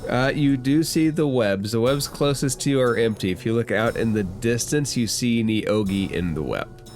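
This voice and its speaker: A man's voice: mid-range voice, moderate pace, a little mellow, faint music behind. A man running a tabletop game as game master, often restarting and repeating words as he narrates.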